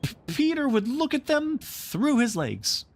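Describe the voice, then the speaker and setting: A frightened young storybook boy, pitched well up and wavering widely. He pleads urgently, sounding small and in need of help. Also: big pitch swings, unhurried, soft background music, exaggerated voice.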